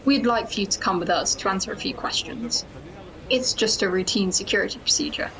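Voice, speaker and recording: A woman's voice: mid-range voice, brisk, fairly bright tone, lightly acted, poor audio. A woman playing a hard-line, suspicious military security chief in an audio drama, speaking in a clipped, formal way. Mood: stern, formal.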